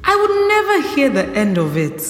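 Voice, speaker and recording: A woman playing an ambitious, self-assured young Nigerian wedding planner in an audio drama, expressive and slipping into Pidgin. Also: high-pitched, normal speed. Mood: dismissive, musing. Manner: expressive, mixes English with Pidgin. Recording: roomy reverb, faint music behind.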